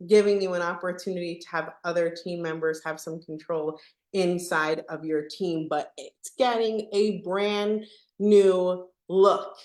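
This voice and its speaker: A woman's voice: mid-range voice, a little mellow. A woman with a mid-range voice speaks in a noticeably echoey room. She presents like a live webinar host, upbeat and chatty. She keeps checking in with her listeners as she sells the idea. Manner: chatty, peppered with "right?" tags. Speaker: presenter hosting an online product webinar. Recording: echoey, roomy sound.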